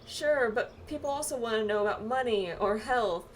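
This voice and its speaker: A woman playing a game with friends, reading its dialogue aloud casually and haltingly, with reactions of her own. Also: on the high side, a little hiss or hum. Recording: game music behind the voice. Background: video game music.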